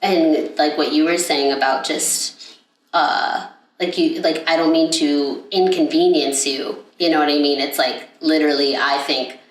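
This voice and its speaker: A young woman talks in a low, deep-set voice, wandering through her thoughts with plenty of fillers and false starts. She sounds set back from the mic, and her voice bounces around a hard, echoey room. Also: steady tone, unhurried, recorded from a distance. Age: young adult. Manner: rambling, with many fillers and restarts. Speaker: podcast co-host. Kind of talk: casual chat.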